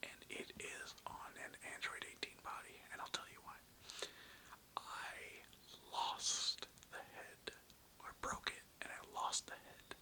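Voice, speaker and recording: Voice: measured pace, rough recording. A young adult whispering an informal, rambling ASMR toy show-and-tell close to the mic. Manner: rambling, informal, occasional swearing. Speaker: content creator showing a toy collection.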